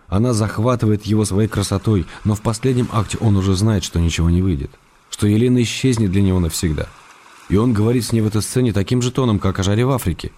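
An actor playing a wry, affectionate middle-aged writer who reads his letters in a measured, gently ironic way for a radio drama. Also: lowish voice, slight background noise, lightly acted.

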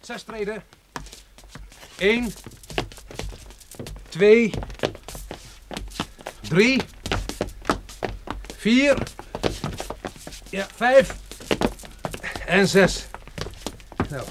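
Radio play lead: unwilling captive guide, dry, lively intonation, faintly noisy recording. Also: male voice, sits high, drawn-out words, pauses often, heavily acted. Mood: tense, guiding. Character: captive sighted man, reluctant, sardonic, reluctantly responsible guide. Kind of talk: Dutch radio play acting.

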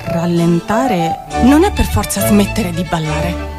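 A woman performing a theatrical spoken-word reading, delivering it in a deliberate, rhythmic way. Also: fairly low pitch, animated. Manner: deliberate, rhythmic, repeating phrases, at times half-sung. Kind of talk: theatrical poetry reading over music. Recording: loud music under the voice. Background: loud music with violin.

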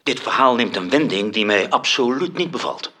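An actor playing an aloof, disapproving onlooker in a radio play, with a raspy voice. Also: male voice, mid-range voice, lively intonation, normal speed, sharp tone. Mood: displeased, dry.

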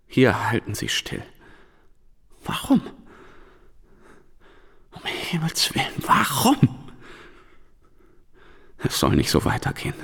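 An actor in a German radio play voicing a disillusioned, brooding schoolteacher back from war, speaking in impassioned, halting phrases. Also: male voice, lowish voice, gravelly, fairly bright tone, big-room sound, heavily acted. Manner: impassioned, halting rhetorical questions and short phrases.

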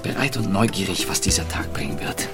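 An actor playing an eager, practical-minded engineer in a historical radio drama, precise and keen in manner. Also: male voice, low-pitched, expressive, fairly quick, over music. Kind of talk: historical radio docudrama acting.

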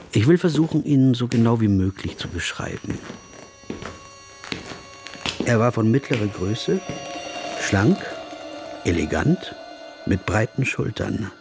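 Radio play narrator, low-ish voice, calm literary storytelling, reflective, faint music behind. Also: male voice. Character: observant, composed gentleman officer telling his travel memories.

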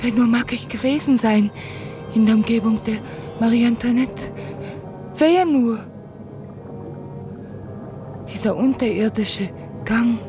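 An actress playing an enigmatic, fearful, visionary woman in a literary radio drama, dreamy and halting, full of questions. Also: a little high, over music, close to an everyday voice. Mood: dreamy, wondering.

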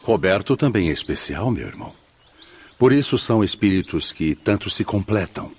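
An actor in a radio soap playing a thoughtful, kindly gentleman with a gentle, formal manner. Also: low voice, expressive, moderate pace, husky, exaggerated voice. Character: thoughtful, kindly brother of a noble doctor. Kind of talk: radio soap opera acting.

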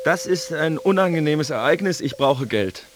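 A man's voice: a little high, lively intonation, moderate pace, heavy background noise, lightly acted. A man acting in a radio drama as a storyteller, narrating in short, matter-of-fact phrases.

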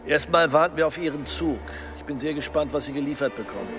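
A man's voice: on the high side, quick pace, over music, natural voice. A man playing a hard-boiled, corrupt police detective in a radio play, terse, dry and threatening. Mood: menacing.

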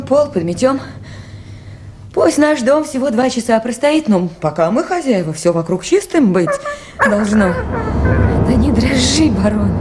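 An actress playing a lone woman at a river station in a Soviet radio play, speaking tenderly and with brave unease, as if to a dog and to herself. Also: high-pitched. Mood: comforting, anxious. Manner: talking soothingly to a dog and to herself. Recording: noisy 1980s radio play, outdoor water ambience.

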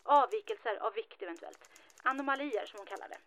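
A woman playing a mayor's secretary in an audio drama, precise, discreet and deadpan. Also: on the high side, close to an everyday voice. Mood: deadpan, informative. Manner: precise, deadpan, formal wording. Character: matter-of-fact, discreet female mayor's secretary.

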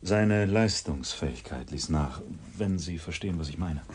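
Deep-voiced, guarded institute scientist. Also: male voice, animated, normal speed, a little mellow, husky. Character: guarded, formal male research institute colleague. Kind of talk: radio drama acting.

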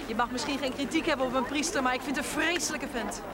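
An actress playing a chatty, opinionated young mother in a television drama, brisk in manner. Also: sits high, natural voice. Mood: chatty, disapproving.

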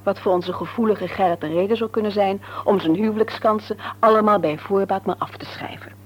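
An actress playing a sensible, frank and caring wife in a radio serial, reasoning earnestly and gently chiding. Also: female voice, mid-range voice, brisk, close to an everyday voice. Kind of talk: Dutch radio serial drama acting. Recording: noisy old radio recording.

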